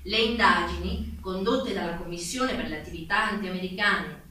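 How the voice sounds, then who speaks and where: A woman's voice: on the low side, moderate pace, pushed and bright. A woman playing a sober narrator of historical events, reading scripted stage narration in a formal, declamatory way. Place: theatre stage, recorded from afar. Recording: very echoey distant stage recording, faint noise.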